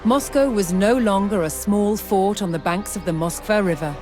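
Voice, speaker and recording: A woman reading scripted historical narration for a strategy game, in a measured, dramatic storytelling delivery. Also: mid-range voice. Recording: music under the voice. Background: background music.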